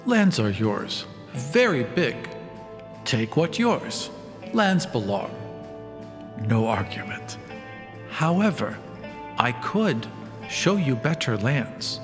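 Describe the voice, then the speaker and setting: A man playing a cautious, diplomatic female druid who speaks in simple, halting broken phrases in a tabletop game. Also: medium pitch, sing-song, big-room sound, over music. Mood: placating.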